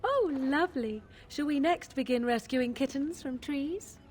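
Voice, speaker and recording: An actress playing a cold-hearted, sarcastic, disdainful companion in a fantasy video game. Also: female voice, sits high, a little slow, darkish tone, soft background music. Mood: sardonic disdain.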